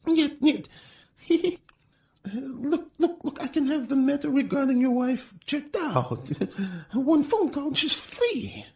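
A man's voice: sing-song, slow, exaggerated voice. A man voicing a sniveling, fawning male secret police chief in a read-aloud story. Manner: sniveling, pleading, high and whiny.

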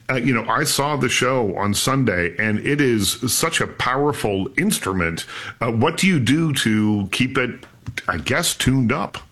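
A man hosting a radio interview, asking lively conversational questions and reading out promos. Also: medium pitch. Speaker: radio show host.

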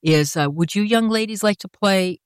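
An older woman tells her story in an interview, earnest and reflective. Her voice sits at a middle pitch for a woman and is recorded dry and close. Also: normal speed, clean tone, dry recording. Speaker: interviewee, author.

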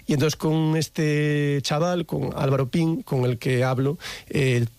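A man's voice: a little high, fairly even intonation, slow pace, vocal fry, a little mellow, no echo. Young man giving hesitant thoughtful answers. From Galicia, Spain. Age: young adult. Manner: thoughtful, hesitant, many fillers and restarts. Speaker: guest, maker of craft videos. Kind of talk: radio interview answers.

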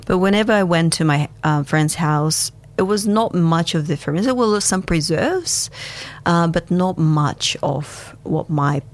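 Slow, low-voiced woman. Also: lively intonation. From Kazakhstan and Russia. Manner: reflective, unhurried, with many fillers and restarts. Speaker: guest. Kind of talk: conversational interview answers.

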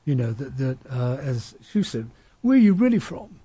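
Man with a dark, husky voice. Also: medium pitch, very animated, moderate pace. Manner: thoughtful, anecdotal, with frequent restarts. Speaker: panel discussion speaker. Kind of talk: reflective panel talk.

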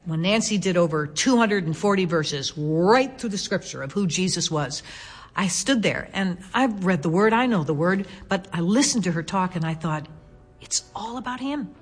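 A woman's voice: lowish voice, animated, normal speed, husky. A woman speaking fervently about a conference, repeating words for emphasis. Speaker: guest giving testimony.

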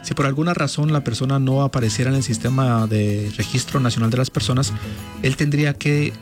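Man, mid-pitched voice, formal patient explanations, radio interview guest, faint guitar music behind. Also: steady tone, brisk. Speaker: consulate official, interview guest.

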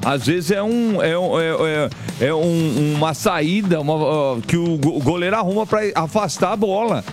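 Male Brazilian football radio host, high voice, emphatic and colloquial, opinionated chat over a clear music bed. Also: animated, moderate pace. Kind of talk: opinionated sports commentary and chat. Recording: music bed under broadcast voice.

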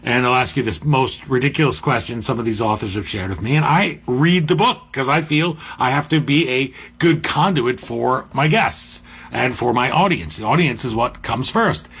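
Middle-aged male host, deep and slightly husky, conversational and musing. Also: normal speed, fairly bright tone. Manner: conversational, musing, with asides and restarts. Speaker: podcast host. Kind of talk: reflective monologue.

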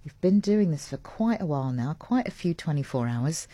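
A woman hosting a live morning radio show in a studio, chatty and rambling, her voice sitting lower than usual after a late night. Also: expressive, normal speed, no room sound. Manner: rambling, warm and self-mocking, with laughs. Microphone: large studio mic with a fluffy cover.

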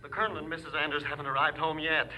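Excitable, sharp-voiced young deputy. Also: male voice, a little high, rapid-fire, a little hiss or hum, rough recording. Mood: agitated. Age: young adult. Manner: agitated, fussy, blurting. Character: excitable, fretful young male ranger office deputy. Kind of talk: old radio adventure drama acting.

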